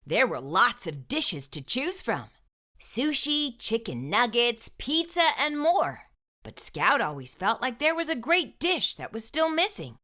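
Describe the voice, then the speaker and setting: A woman reading a storybook aloud to young children, with expressive, emphatic delivery and deliberate phrasing. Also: fairly high pitch.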